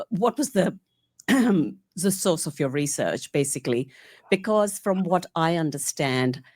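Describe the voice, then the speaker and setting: Woman, Indian English accent, low pitch, hesitant and halting, dry recording. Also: darkish tone, no room sound. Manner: hesitant, many fillers. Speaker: audience member asking a question. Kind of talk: hesitant audience question.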